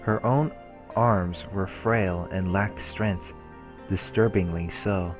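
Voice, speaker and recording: A man's voice: deep voice, steady tone, takes it slowly. A man reading a visual novel's story narration aloud as a let's play narrator. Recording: music behind the voice. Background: game music.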